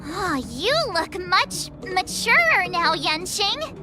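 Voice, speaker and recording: A woman's voice: very high-pitched, sing-song, slow pace, music in the background, exaggerated voice. A woman voicing a bubbly, easily flustered young swordswoman in a game. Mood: teasing. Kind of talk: scripted game voice acting.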